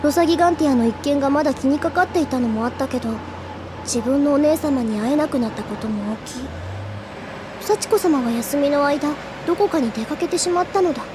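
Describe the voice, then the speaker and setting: Earnest, high-voiced teenage schoolgirl played by a woman. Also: fairly quick, background noise, natural voice. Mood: wistful. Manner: sincere, hesitant, reflective. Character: earnest, soft-hearted teenage schoolgirl, devoted and shy. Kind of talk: Japanese anime audio drama acting with inner monologue.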